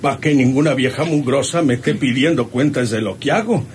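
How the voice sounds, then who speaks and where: An actor playing a hardy, cheeky, aging ranch hand with a rural Mexican accent and folksy manner in a radio drama. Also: male voice, mid-range voice, fairly quick, lightly acted. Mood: cheeky, teasing. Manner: folksy, chuckling. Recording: noisy old radio serial recording.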